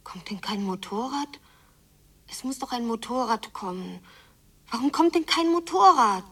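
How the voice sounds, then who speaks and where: A woman playing a yearning, stubborn young girl in a radio drama, speaking breathily in an intense, halting, hushed way. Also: on the high side, lively intonation, distant mic. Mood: yearning, impatient. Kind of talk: radio drama inner monologue, often whispered.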